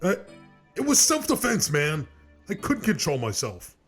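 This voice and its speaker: A man playing a defensive, aggrieved loudmouth in a scripted web cartoon, whiny and argumentative. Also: a little high, wildly swooping pitch, a little slow, music in the background.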